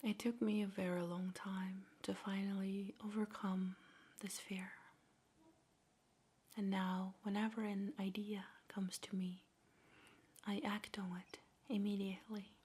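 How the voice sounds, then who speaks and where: A woman speaking in a soft, breathy whisper, slowly and gently guiding a meditation in an ASMR style, with measured pauses. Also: mid-range voice, flat delivery.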